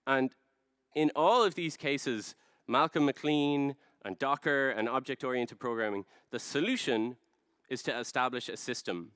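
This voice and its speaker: Clear-voiced Irish man giving a talk. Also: mid-range voice, unhurried, fairly bright tone, no room sound. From Ireland. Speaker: conference speaker, product design director. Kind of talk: rehearsed conference presentation. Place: large dark stage, indoors.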